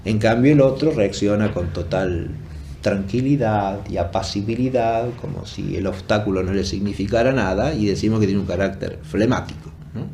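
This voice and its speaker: A man giving an unscripted academic lecture in a formal, didactic and deliberate way, rephrasing as he goes and often checking in with short tag questions. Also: fairly low pitch, gravelly, mellow, slight background noise. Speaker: philosophy lecturer.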